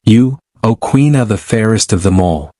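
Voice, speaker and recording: A woman's voice: extremely low pitch, animated, moderate pace, warm tone, dry recording, clean studio sound, voice pushed down. A woman voicing a solemn, all-knowing magic mirror in a storybook, with formal pronouncements. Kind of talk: storybook character voice.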